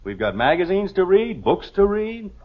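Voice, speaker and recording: Exasperated American family man, blustery and sarcastic, swinging pitch, husky edge, dull vintage radio sound. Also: big pitch swings, moderate pace. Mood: stern, sarcastic. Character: exasperated, thrifty middle-aged father and husband. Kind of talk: 1950s radio sitcom acting.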